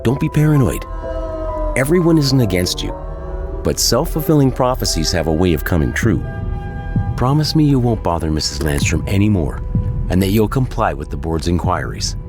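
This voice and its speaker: An actor playing a calm, patronising dean and mentor in an audio drama, measured and reassuring. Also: male voice, sits low. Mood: reassuring, firm. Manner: measured, reassuring, smooth-talking. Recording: music behind the voice.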